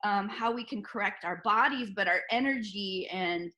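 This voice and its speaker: Bright-voiced woman hosting an interview. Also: mid-range voice, moderate pace. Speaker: interview host; movement practitioner. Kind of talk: conversational interview with a guest.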